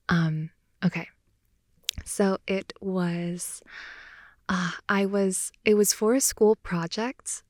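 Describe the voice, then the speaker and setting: A woman's voice: on the low side, dry recording. A woman improvising intimate girlfriend-style ASMR, telling personal stories in a casual, hesitant ramble close to the mic. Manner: hesitant, rambling, filler-heavy casual speech. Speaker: ASMR audio performer. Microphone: close, dry studio-style mic.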